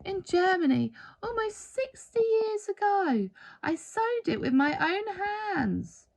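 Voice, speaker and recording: Storybook role, played by a female reader: elderly woman, sorrowful Holocaust survivor, heartfelt and halting, expressive swings in pitch. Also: vocal fry, exaggerated voice. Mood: shocked, tearful.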